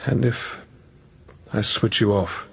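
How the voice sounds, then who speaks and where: Low-voiced, troubled priest. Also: male voice, steady tone, slow, vocal fry, slightly rough, full character voice. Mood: grave, resigned. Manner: hesitant, reflective. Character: troubled, weary, guilt-laden male priest and doctor. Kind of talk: naturalistic radio drama acting and narration.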